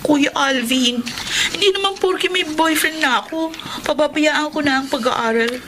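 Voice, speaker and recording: A woman's voice: high voice, measured pace, sharp tone, slight background noise. A woman playing a pleading young student sister in a Filipino radio drama, with a Filipino accent. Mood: pleading, defensive.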